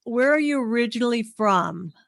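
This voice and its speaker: A woman's voice: on the high side, expressive, moderate pace, creaky, no room sound, clean studio sound. A woman hosting an interview, chatting casually and telling stories in a chatty, friendly way. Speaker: interview host.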